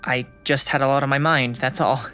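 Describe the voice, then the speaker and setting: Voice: mid-range voice, fairly even intonation, fairly quick, pushed and bright, clear, resonant tone, faint music behind, lightly acted. A young performer playing an anxious, awkward, earnest schoolboy in an audio drama, speaking hesitantly. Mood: defensive, reluctant. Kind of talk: naturalistic audio drama acting.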